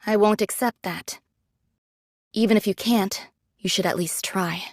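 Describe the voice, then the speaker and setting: A woman's voice: mid-range voice, unhurried, no echo. Ordinary female android soldier, mid-range voice, candid, earnest, reproachful, dry game recording. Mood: imploring. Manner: frank, earnest, imploring. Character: frank rank-and-file female android soldier, admiring yet reproachful. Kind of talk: dramatic game voice acting.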